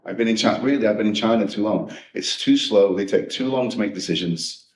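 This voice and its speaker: A man's voice: on the low side, fairly quick, slight background noise. A man from the UK answering audience questions at a live coaching talk, frank and conversational. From United Kingdom. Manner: frank, conversational, many restarts. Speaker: speaker at a coaching event. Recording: echoey hall, distant mic.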